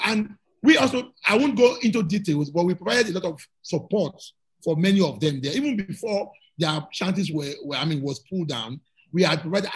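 A man's voice: high voice, breathy edge, low-quality recording. A Nigerian man from Lagos, answering interview questions earnestly and often repeating words as he searches for them. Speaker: guest journalist.

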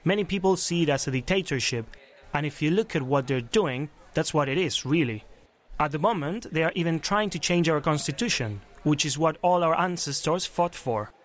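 A man's voice: on the high side, animated, moderate pace. A young man, a student protester, speaking earnestly in an interview, his voice altered. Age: young adult. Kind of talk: interview voice-over.

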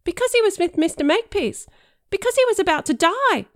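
A woman's voice: very high voice, expressive, fairly quick, no echo, clean studio sound, voice pushed up. A woman voicing a frightened, earnest teenage boy who talks nervously.

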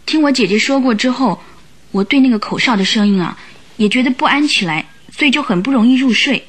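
A woman's voice: a little high, fairly quick, natural voice. An actress playing an anxious young woman who recounts events earnestly in a radio drama. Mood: uneasy, recounting. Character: anxious young woman client recounting her sister's death. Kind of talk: Mandarin radio drama acting. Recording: radio drama with music behind.

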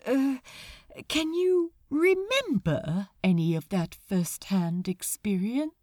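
A woman voicing an anxious, gentle, fussy male angel in a read-aloud story, with a polite, hesitant manner. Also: mid-range voice, very animated, takes it slowly, roomy sound, far from the mic. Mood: desperate.

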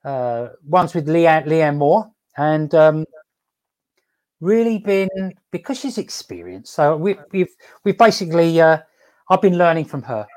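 A man hosting a casual live stream, upbeat and laughing often, talking haltingly with many fillers and restarts and asking "okay?" to check in. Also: a little high, big pitch swings, a little mellow. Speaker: host.

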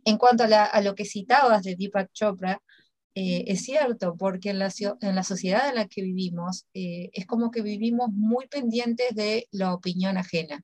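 A woman interviewing a guest in a relaxed, encouraging way. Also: medium pitch, moderate pace, dry recording. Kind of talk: interview conversation.

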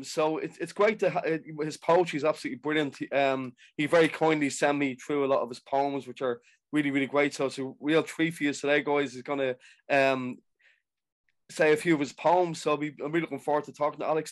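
A man's voice: on the high side, fairly even intonation, quick pace. Upbeat male podcast host. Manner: enthusiastic, repeats praise, frequent "um". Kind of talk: podcast intro and interview chat.

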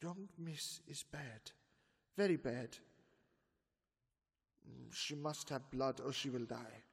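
A man's voice: mid-range voice, slow, breathy edge. A man playing an elderly foreign professor who speaks formal, broken English in a radio drama. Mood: grave. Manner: formal broken English, grave then urgent. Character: elderly male foreign professor, urgent and grave. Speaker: Professor Van Helsing. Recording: long hall reverb.

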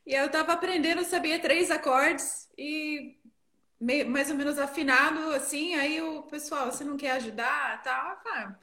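A middle-aged woman from the northeastern United States, a native English speaker, telling her story reflectively and haltingly as an interview guest. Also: sits high, bright, forceful tone, roomy sound. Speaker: interview guest, worship leader. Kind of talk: conversational personal storytelling in an interview.

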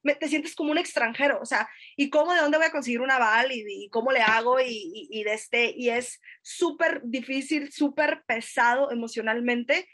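High-voiced Sonoran Mexican woman. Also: moderate pace, few pauses, fairly bright tone. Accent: northern Mexican (Sonoran). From Sonora, northern Mexico. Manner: hesitant, many fillers and restarts, frequent tag questions. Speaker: podcast guest. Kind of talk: casual conversational storytelling.

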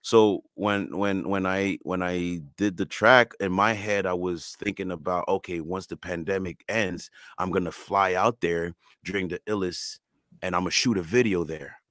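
A young man with a very low voice talks loosely in English, a second tongue he drops slang into. He restarts phrases often and keeps a relaxed street tone. The recording is close and dry, with no room sound. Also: normal speed, no room sound. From Canada. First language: French. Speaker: guest hip hop artist. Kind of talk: casual interview talk.

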